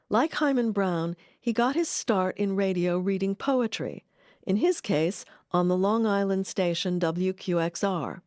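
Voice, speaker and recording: A woman reading scripted documentary narration in a measured, articulate manner. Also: medium pitch, a little mellow, no echo, clean studio sound.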